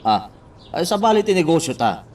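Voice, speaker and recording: A man's voice: on the high side, big pitch swings, a little fast, recorded from a distance. A man playing an apologetic character in a radio love-story drama. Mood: apologetic, casual.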